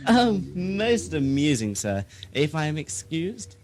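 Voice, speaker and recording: A man's voice: fairly high pitch, big pitch swings, slow, background noise, heavily acted. A man playing a pompous, obsequious asylum keeper in an audio drama, florid and theatrical in his speech. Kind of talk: radio drama acting.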